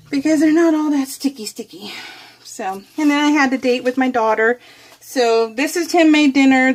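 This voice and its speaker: A middle-aged woman hosting a craft vlog, chatting casually and rambling as she works, often correcting herself and giggling. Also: high voice. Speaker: craft video host.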